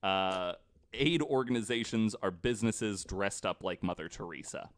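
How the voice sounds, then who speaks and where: A man presenting a researched story on a casual podcast, speaking in a halting way as he explains from his notes. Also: lowish voice.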